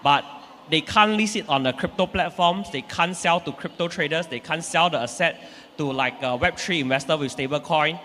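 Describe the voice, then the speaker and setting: A man's voice: a little high, moderate pace, pushed and bright, slight background noise. A man from Singapore, explaining points as a panelist in a live panel discussion. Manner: explanatory, adds points from a global view. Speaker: company executive, panelist. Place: conference stage at a live event. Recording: reverberant live conference hall.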